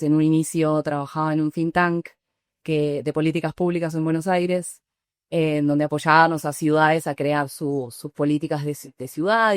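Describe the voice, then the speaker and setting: Low-voiced Argentine woman explaining calmly. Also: no room sound, studio quality. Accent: Argentine Spanish. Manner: thoughtful, restarts phrases, explanatory. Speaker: startup founder, guest. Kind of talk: conversational interview answers.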